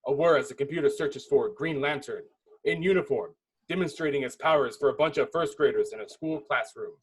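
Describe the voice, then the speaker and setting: A man's voice: medium pitch, a little fast, slightly rough, low-quality recording. A man giving dramatic scripted narration, reading a film script's stage directions.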